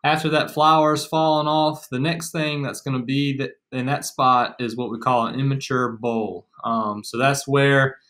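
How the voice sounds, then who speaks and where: Bright-voiced young American man. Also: mid-range voice, steady tone, normal speed, hardly any pauses. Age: young adult. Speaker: agriculture extension agent, co-presenter. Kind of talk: casual educational explanation. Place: small room at home, indoors.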